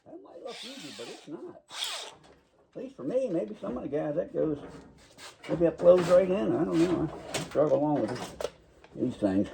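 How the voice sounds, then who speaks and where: A man talking quietly and casually to camera while he works on a car, mumbling as he goes. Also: on the high side, sing-song, moderate pace, vocal fry, long pauses, very noisy. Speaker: car restoration hobbyist. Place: home garage workshop.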